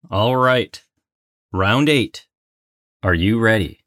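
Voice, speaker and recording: A man's voice: lowish voice, expressive, drawn-out words, a bit bright, dry recording, clean studio sound. A man co-hosting a trivia game with casual banter and a playfully dramatic delivery. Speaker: trivia game show co-host and contestant.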